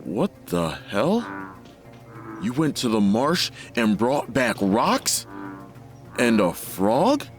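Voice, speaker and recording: A man's voice: medium pitch, huge pitch swings, extremely slow, pauses often, faint music behind, full character voice. A man playing a bewildered, worried father in an audio drama, pleading and urgent. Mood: alarmed, bewildered.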